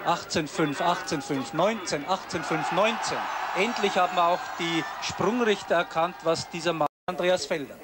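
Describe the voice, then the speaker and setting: A man's voice: high-pitched, normal speed. A man giving live ski flying commentary on television. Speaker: sports commentator. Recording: noisy outdoor sports broadcast, crowd noise.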